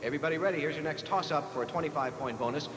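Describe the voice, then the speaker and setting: A man's voice: a little high, racing, a crowd in the background. An American man hosting a live quiz show, reading out questions and ruling on answers. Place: large echoey hall, live televised competition. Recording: noisy, echoey 1980s TV broadcast in large hall.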